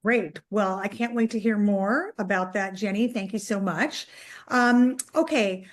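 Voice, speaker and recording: A woman's voice: medium pitch, unhurried. A woman hosting an online executive panel, introducing guests in an upbeat, chatty way with many fillers. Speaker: host.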